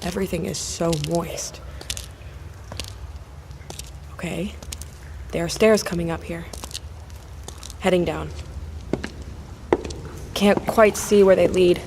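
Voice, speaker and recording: An actress playing a cautious, curious woman explorer recording a field log in an audio drama, speaking in a measured, observational way. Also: on the low side, steady tone, creaky, darkish tone, slight background noise. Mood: hushed, awed. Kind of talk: found-footage audio drama acting.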